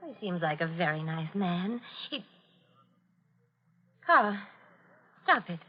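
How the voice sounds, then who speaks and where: An actress playing an anxious, devoted wife, gentle, hesitant and pleading, in the style of an old-time radio thriller. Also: female voice, fairly low pitch, big pitch swings, slow, heavily acted. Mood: worried, gentle. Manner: hesitant, fretful, breaking off sentences. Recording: noisy, muffled old radio recording.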